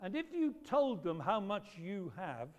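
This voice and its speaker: A man with a loud voice, preaching an animated talk to children at a church service. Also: high-pitched, unhurried. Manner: lively, emphatic, storytelling to children. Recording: echoey hall reverb.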